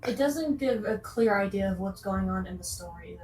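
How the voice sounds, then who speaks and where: A woman's voice: mid-range voice, lively intonation, normal speed, a little mellow. Distant, echoey woman panelist. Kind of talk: casual group chat commentary. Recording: distant echoey mic, voices around.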